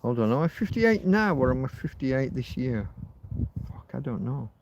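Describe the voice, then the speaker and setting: A man's voice: mid-range voice, sing-song, normal speed, vocal fry, dull audio, noisy background. A man in his fifties hosting a rambling, casual livestream outdoors, talking bluntly and swearing freely. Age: 50s. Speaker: livestream host. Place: outdoors in a field.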